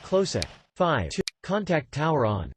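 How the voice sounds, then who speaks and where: A man's voice: fairly high pitch, wildly swooping pitch, unhurried. A man speaking as an air traffic controller in clipped, scripted radio phraseology.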